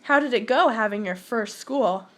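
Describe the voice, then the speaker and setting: A woman's voice: fairly high pitch, normal speed, a bit bright. A teenage girl reading prepared interview questions plainly for a school project.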